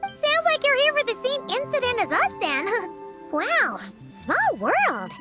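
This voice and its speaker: A tiny, excitable fairy sidekick from a fantasy game. The voice is extremely high, childlike and wildly animated, chirpy and blunt, with loud music behind it. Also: female voice, slow pace, exaggerated voice. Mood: excited surprise. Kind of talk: video game voice acting.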